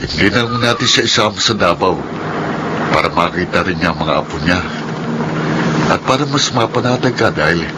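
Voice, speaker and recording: A man's voice: low-pitched, expressive, brisk, long pauses, heavy background noise. An actor playing a solemn, earnest man in a Filipino radio drama. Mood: gentle urging. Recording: loud music behind the voice.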